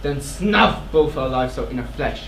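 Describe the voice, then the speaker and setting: A man's voice: medium pitch, lively intonation, creaky, fairly bright tone, distant mic. A young man playing an earnest, defiant accused royal brother-in-law in a stage tragedy, with declamatory delivery. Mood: pleading. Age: young adult. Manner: slow, declamatory. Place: theatre stage. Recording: echoey theatre from afar, faint noise.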